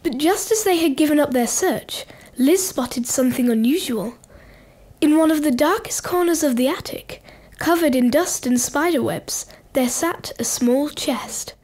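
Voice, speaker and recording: A woman's voice: sits high, unhurried, darkish tone. A woman narrator reading scripted children's radio play narration in a storytelling style.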